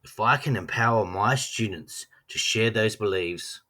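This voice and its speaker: An Australian man narrates a prepared personal statement in an earnest, measured read. His voice sits a little low but has a bright, forceful edge. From Western Australia. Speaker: trainee teacher.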